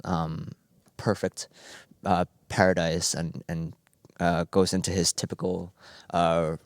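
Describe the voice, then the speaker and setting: A man in his twenties from New Jersey with a very deep voice. He talks about music eagerly and earnestly, filling his pauses with uhs and ums. The sound is dry and close. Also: little pitch movement, dry recording. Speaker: cellist, music student. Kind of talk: radio interview. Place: radio performance studio.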